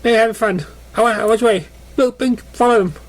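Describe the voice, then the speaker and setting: Guy doing a high pitched childish girl pony, bubbly, repeats herself, simple cartoon chatter. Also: a little fast. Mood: excited, curious. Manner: repetitive, simple-minded chatter.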